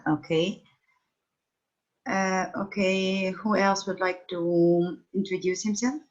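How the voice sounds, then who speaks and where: A woman's voice: mid-range voice, steady tone, takes it slowly. A middle-aged woman teaching an online English class over a phone video call, patiently questioning her students. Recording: dull lo-fi call audio.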